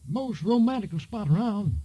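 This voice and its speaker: An actor playing a folksy, sly old car lot attendant in a radio drama, with a husky voice and a drawling rural American accent. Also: male voice, high-pitched, expressive, normal speed, dark tone. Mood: sly, amused. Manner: drawling, homespun, chatty. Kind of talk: 1950s radio drama acting. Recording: muffled vintage radio recording.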